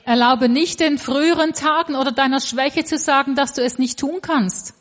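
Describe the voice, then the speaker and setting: A woman's voice: high voice, moderate pace, fairly bright tone. A woman working as a live sermon interpreter, rendering the preacher's words in short, emphatic phrases.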